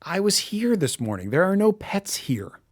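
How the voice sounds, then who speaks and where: An actor playing an awkward, guarded loner in an audio drama, polite but on the defensive. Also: male voice, high-pitched, big pitch swings, normal speed. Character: guarded, awkward loner man who talks to his gourd.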